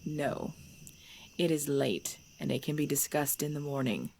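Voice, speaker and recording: A woman playing an ancient, composed vampire in a supernatural ASMR drama, speaking in measured, formal, deliberate phrases. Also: low-pitched, vocal fry. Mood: firm, gentle. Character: ancient female vampire, composed, knowing, darkly playful. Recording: steady background noise with chirping crickets.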